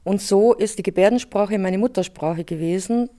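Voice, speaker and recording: A woman's voice: mid-range voice, moderate pace, mellow. A woman, a sign language interpreter, explaining her work conversationally with small hesitations. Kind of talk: radio interview soundbite.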